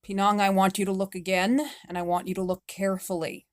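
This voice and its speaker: A woman's voice: medium pitch, flat delivery, fairly quick, lightly acted. A woman voicing a sick, tired widowed mother who speaks in a weary, scolding tone.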